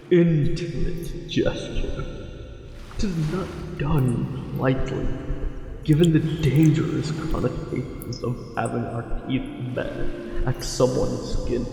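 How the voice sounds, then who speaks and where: A man voicing a tender, possessive merman for an ASMR romance roleplay, speaking formally and haltingly with a breathy delivery. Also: on the high side, dark tone. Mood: flustered. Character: lovesick possessive angler merman, courtly and tender. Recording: very echoey, long reverb, music behind.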